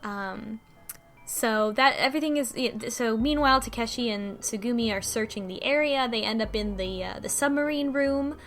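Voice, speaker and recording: A woman's voice: on the high side, normal speed, fairly bright tone, soft background music. A woman casually recapping a visual novel on a podcast, with lots of ums and restarts. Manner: rambling, many ums and restarts, laughs often.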